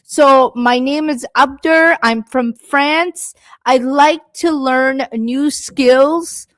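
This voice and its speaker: Woman, live-stream English teacher, slow and encouraging, dry close sound. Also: high-pitched, fairly bright tone, no echo. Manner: patient, encouraging, talks to viewers by name. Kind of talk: live-stream speaking lesson reading chat comments.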